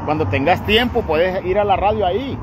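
A man's voice: high-pitched, lively intonation, a little fast. A Honduran man who hosts a charity channel, bluntly lecturing someone on a night street, filmed on a phone. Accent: Honduran Spanish. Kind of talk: scolding street talk. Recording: noisy night street recording.

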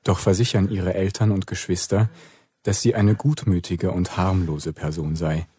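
A sober male narrator in a radio play. The voice is low and slightly husky with a dark tone. He reads formally and evenly, in a dry studio. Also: level tone, dry recording. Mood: sober, measured. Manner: measured, formal.

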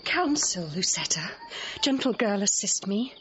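A woman's voice: medium pitch, lively intonation, measured pace, muffled recording, over music, lightly acted. An actress playing a headstrong, playful young noblewoman in love, speaking Shakespearean verse with ardent lyricism in an audio drama. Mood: yearning, pleading.

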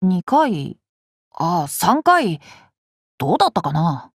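A woman's voice: on the low side, sing-song, slow, slightly rough, no echo, clean studio sound, heavily acted. A woman voicing a cheerful, curious boy king for an anime game, boyish and polite, with playful self-assurance. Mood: thoughtful, candid. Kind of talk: Japanese anime game character lines.

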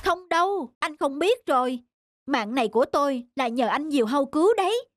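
A woman voicing a timid, trusting male rooster in a children's animated folktale, naive and exclaiming. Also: high voice, a little fast, no echo, studio quality. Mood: friendly.

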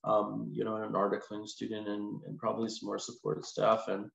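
A man in his forties speaking casually and haltingly as an interview guest over a video call. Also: lowish voice, monotone, gravelly, a bit bright. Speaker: podcast guest, lawyer. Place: small indoor room, video call. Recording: echoey, muffled video call with noise.

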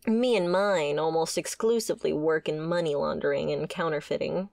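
A woman's voice: sits low, normal speed, creaky, darkish tone, no room sound, studio quality. A woman playing a wry, flirtatious female mafia boss with a sardonic, conversational manner in a scripted ASMR roleplay. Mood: wry, explaining.

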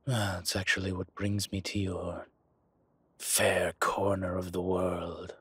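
Man voicing a husky, deep, suave dragon mob boss. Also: level tone, a bit bright, no echo, full character voice. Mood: haughty, disdainful. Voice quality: husky, rough. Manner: slow, deliberate, pausing, smooth-talking. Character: suave, arrogant male dragon mob boss, older, fond of luxury. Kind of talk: intimate scripted roleplay audio, one-sided conversation.

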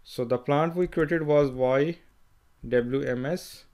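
Male instructor, plain step-by-step tutorial delivery, mid-pitched, self-correcting. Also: slow, darkish tone. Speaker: software trainer. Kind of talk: screen-recorded software tutorial.

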